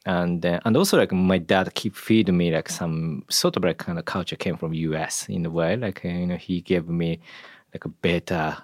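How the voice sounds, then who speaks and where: A man from Japan with a Japanese accent, speaking as an interview guest in a halting way, with lots of "like" and "you know". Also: deep voice, fairly even intonation, no room sound, clean studio sound. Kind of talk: casual interview chat.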